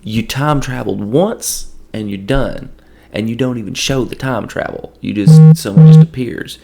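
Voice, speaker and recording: A hoarse man with a gravelly voice from allergies, reviewing a movie in a rambling, casual way with lots of restarts. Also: medium pitch, moderate pace. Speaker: podcast host.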